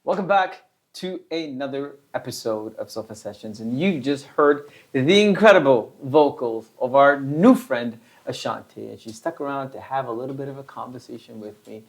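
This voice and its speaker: A host running a relaxed interview, voice in a middle register. The delivery is halting, with frequent stumbles and restarts. Questions come in a casual, chatty way. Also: medium pitch.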